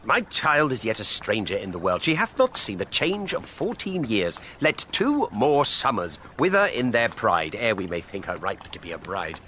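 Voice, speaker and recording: A man playing an aging, authoritative noble father in a Shakespearean audio drama, with a measured, courtly delivery. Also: fairly low pitch, sing-song, sharp tone, slight background noise, poor audio. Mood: protective, wistful. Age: older. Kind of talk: Shakespearean full-cast audio drama acting.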